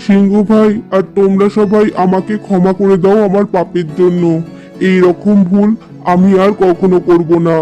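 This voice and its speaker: A man's voice: sits high, little pitch movement, moderate pace, mellow, close to an everyday voice. A man voicing a remorseful, humbled fox in a children's cartoon, pleading earnestly. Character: remorseful male fox, humbled thief begging forgiveness. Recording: music under the voice.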